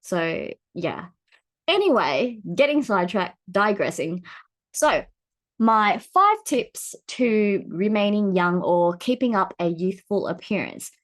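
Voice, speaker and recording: A woman in her forties vlogging to camera in a casual, chatty way, laughing often, with her pitch swinging up when she gets excited. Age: 40s.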